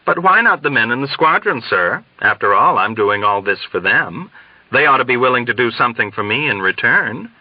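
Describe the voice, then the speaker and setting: A man's voice: medium pitch, animated, normal speed, no echo, lo-fi sound, close to an everyday voice. A man voicing an earnest, self-serving mess officer in an audiobook, deferential and plaintive. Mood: aggrieved. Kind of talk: dramatized audiobook reading.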